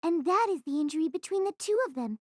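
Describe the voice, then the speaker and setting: A woman's voice: extremely high pitch, expressive, fast, no echo, clean studio sound. A woman voicing a bold, meddling teenage schoolgirl in an audio drama, pushy and teasing. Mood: scheming.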